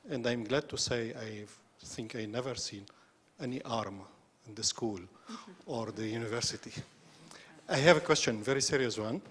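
A man from Lebanon asks a brief question from the audience in a large lecture hall, speaking with halting phrasing. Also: mid-range voice, a bit bright, a little hiss or hum. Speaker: audience member asking a question. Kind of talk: question from the floor.

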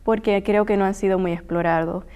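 A woman's voice: mid-range voice, fairly even intonation, fairly quick, a little mellow, clear, resonant tone. A woman, a guest author answering questions in a small TV studio interview, thoughtful and earnest. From Puerto Rico. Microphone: lapel mic.

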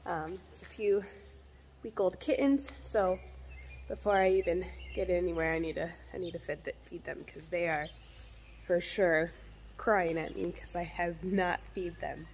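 A young woman in her twenties chatting casually to the camera with lots of ums, her voice a bit deeper from a head cold. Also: fairly even intonation, unhurried, a little hiss or hum. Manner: chatty, rambling with many ums. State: getting over a head cold, deeper voice than usual. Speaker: homesteading vlogger. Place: farm buildings, metal shed and barn. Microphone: phone held at arm's length.

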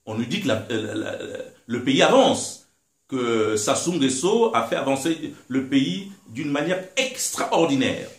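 A middle-aged man speaks in an indignant, emphatic way, his pitch rising and falling. He sits in a living room in front of a close camera. The sound carries a roomy echo. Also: big pitch swings, a little slow. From Republic of the Congo. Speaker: guest, political commentator. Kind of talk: impassioned interview talk. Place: living room, seated in armchair.

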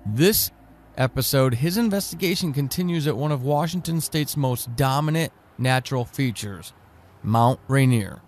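A man's voice: medium pitch, lively intonation, moderate pace, a little mellow. Male announcer over prominent music. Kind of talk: scripted narration introducing an audio drama.

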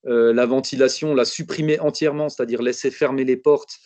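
A man's voice: mid-range voice, steady tone, a little fast, a little mellow, no room sound. Guy giving a webinar talk, lots of ums and restarts, dry close sound. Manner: hesitant, many fillers and restarts. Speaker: architect presenting a building project. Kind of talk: webinar presentation.

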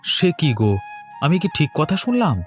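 A man's voice: on the high side, sing-song, moderate pace, soft background music. A man voicing a teasing city husband visiting the village in a cartoon, joshing and pleading with his wife. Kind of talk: cartoon voice acting.

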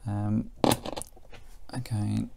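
A middle-aged British man giving a casual, unhurried hands-on commentary as he demonstrates something, thinking aloud as he goes. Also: fairly low pitch, flat delivery, creaky, warm tone. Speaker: presenter demonstrating a vintage radio. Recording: faint background noise, clicks of handling.

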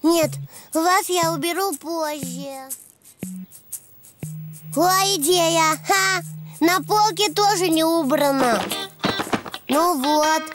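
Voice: very high-pitched, takes it slowly, long pauses. A performer voicing a cheerful, curious little boy donkey in a preschool cartoon, childlike and exclamatory. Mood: busy, cheerful. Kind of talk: preschool cartoon dubbing in Russian. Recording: music behind the voice.

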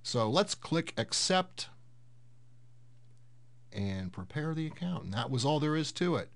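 A man hosting a casual software tutorial, explaining things step by step in an unhurried way. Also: fairly high pitch, big pitch swings, vocal fry. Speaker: host of a tech tutorial series.

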